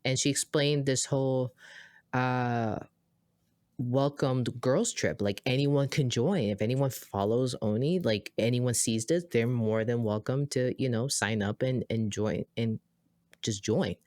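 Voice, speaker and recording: A woman with an American accent co-hosting a podcast, telling a story in a chatty, animated way with lots of asides. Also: unhurried, no room sound, studio quality. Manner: animated, acts out quoted dialogue with big pitch jumps; chatty, many fillers and asides. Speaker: podcast co-host. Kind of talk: casual conversational storytelling.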